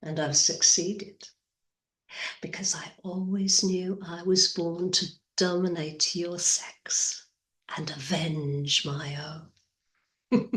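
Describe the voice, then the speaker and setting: Cunning aristocratic woman, played by an actress, theatrical monologue. Proud, disdainful, measured pace, reverberant room. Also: on the low side, steady tone, fairly bright tone, far from the mic. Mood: proud, defiant. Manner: poised, deliberate, disdainful. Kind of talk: dramatic stage monologue. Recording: echoey room.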